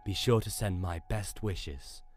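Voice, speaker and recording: Man acting a deep, slow British gentleman coroner. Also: soft background music. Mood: soothing. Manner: soothing, formal, measured. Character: soft-spoken, measured, secretive Victorian gentleman coroner. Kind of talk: radio drama acting.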